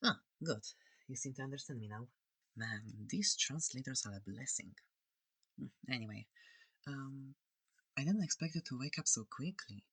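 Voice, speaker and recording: A performer voicing a friendly, curious alien examiner in a soft, hesitant, breathy ASMR roleplay. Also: mid-range voice, lively intonation, normal speed, long pauses, no echo. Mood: curious, pleased. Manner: soft-spoken, hesitant, gently inquisitive.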